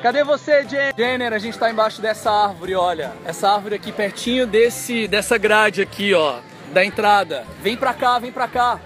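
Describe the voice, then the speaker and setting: A man's voice: high-pitched, normal speed, hardly any pauses, faint music behind. Upbeat Brazilian man vlogging in a park. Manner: chatty, excited, lots of "né?" tags. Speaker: vlogger host. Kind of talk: upbeat vlog chat. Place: outdoors in a city park. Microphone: phone at arm's length.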